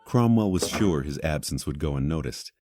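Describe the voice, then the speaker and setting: A man with a deep voice narrates a fantasy audio drama. He reads from a script like a storyteller, measured and dramatic. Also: expressive, dark tone.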